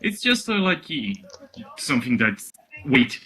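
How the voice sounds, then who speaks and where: A man reading aloud mockingly in casual banter, halting often with exasperated, incredulous asides. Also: a little high, big pitch swings, pushed and bright, rough recording. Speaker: reader on a comedy reading show.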